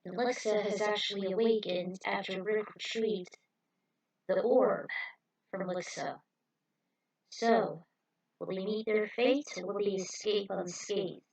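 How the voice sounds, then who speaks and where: A woman's voice: mid-range voice, steady tone, unhurried, long pauses, a little mellow, poor audio. A woman reading scripted story narration as the narrator of an adventure tale. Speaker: narrator reading own fan fiction.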